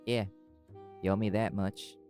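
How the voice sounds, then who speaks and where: A man's voice: lowish voice, little pitch movement, measured pace, loud music behind the voice, natural voice. A man playing a cool, wry, flirty magical private eye in an intimate audio roleplay, teasing dryly and swearing casually.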